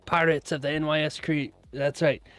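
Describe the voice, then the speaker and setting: A man's voice: fairly high pitch, level tone, moderate pace, fairly bright tone, dry recording. A man hosting a live trading stream, chatting casually with his viewers, laughing often and rambling through asides. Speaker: trading livestream host.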